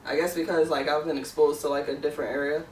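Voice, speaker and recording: A woman's voice: sits low, flat delivery, fast, a little mellow. Rambling Philly woman in her twenties. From Philadelphia. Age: 20s. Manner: rambling, many "like" fillers. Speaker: podcast co-host. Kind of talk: casual chat. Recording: echoey room, mic far off, faint noise.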